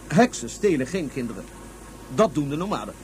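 Courtly small-state monarch in old space radio drama, higher male voice, formal n halting, slight hiss. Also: very animated, darkish tone. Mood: philosophical. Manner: formal, halting, self-deprecating. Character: courtly ruler of a small independent Martian state. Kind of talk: Dutch science fiction radio drama acting.

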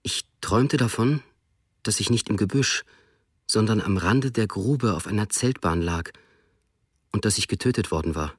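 A man with a fairly low voice narrates a soldier's memoir in the first person. His tone is grave and inward-looking, recorded close and dry with no room sound. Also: normal speed, slightly rough, no room sound.

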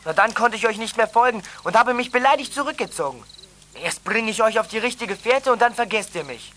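A man's voice: high-pitched, expressive, fairly quick, pushed and bright, slight background noise. A young male performer playing a smug, huffy boy talking by walkie-talkie in a children's radio play. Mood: smug, offended. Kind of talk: 1980s German children's radio play acting.

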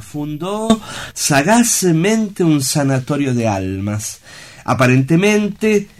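Man acting sanatorium director, radio play, slightly high male voice, solemn, eloquent, declamatory, earnest.

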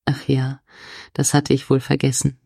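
An actress playing an earnest psychologist who has joined a commune, speaking in a measured, confessional way in a radio play interview. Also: female voice, very deep voice, completely flat delivery, vocal fry, background noise. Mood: musing. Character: earnest female psychologist, blissful commune convert, quietly worried.

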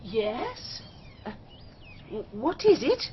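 An actress playing a nervous, chatty, genteel middle-aged English spinster in a radio drama, fluttery and hesitant, with a breathy, husky voice. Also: female voice, fairly high pitch, sing-song, very slow, dull audio, very noisy, heavily acted. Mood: flustered, apologetic.

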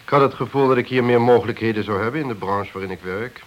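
An actor playing a guarded, vain petty crook under questioning in a radio play, terse and evasive. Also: male voice, mid-range voice, fast talker, muffled recording, lightly acted. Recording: hissy old radio play recording.

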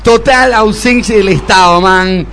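A man's voice: sits high, measured pace, fairly bright tone, a little hiss or hum. A man playing a spoiled, snobbish young Argentine businessman in a radio comedy-drama, whining, stammering and repeating himself. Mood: angry, panicked. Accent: Argentine (Rioplatense).

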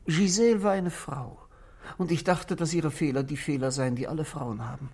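Husky, bitter, controlled husband. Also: male voice, on the high side, darkish tone. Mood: bitter, confessional. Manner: formal, deliberate, precise phrasing. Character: controlled, bitter married man confessing to police. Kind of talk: 1960s German radio drama acting.

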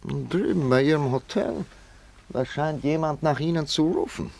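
A man playing a polite, curious gentleman in a German radio drama, speaking in a courteous, deliberate way. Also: a little high, creaky. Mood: politely curious.

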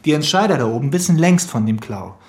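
A man's voice: fairly high pitch, expressive, fast talker, a little mellow, natural voice. An actor playing a male police analyst in a radio crime drama, explaining things in a matter-of-fact, slightly sardonic way.

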